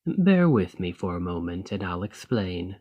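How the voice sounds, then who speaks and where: A man's voice: low-pitched, big pitch swings, warm tone, lo-fi sound, full character voice. A man playing an ambitious foreign noble in a fantasy audio roleplay, speaking in a formal, composed, courteous and persuasive manner. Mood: sympathetic, composed. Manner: formal, measured, deliberate, condescendingly sympathetic. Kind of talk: scripted fantasy ASMR roleplay monologue.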